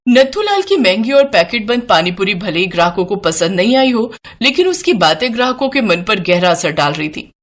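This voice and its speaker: A woman narrating an animated moral story with an even storytelling delivery. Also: mid-range voice, brisk, few pauses.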